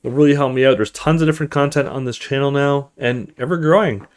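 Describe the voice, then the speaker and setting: A man's voice: mid-range voice, fast talker, clean tone. A man hosting a let's play, reading horror story text aloud with dramatic delivery and casual asides in between.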